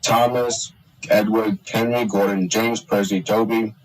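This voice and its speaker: A young man chatting casually on a stream, halting with many restarts and fillers. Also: fairly low pitch, level tone, distant mic, low-quality recording. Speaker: streamer and hobby voice actor. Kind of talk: casual chat on a live stream.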